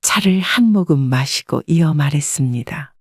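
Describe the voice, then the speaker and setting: A woman narrating a first-person family story in a calm, measured manner. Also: sits low, no room sound, clean studio sound.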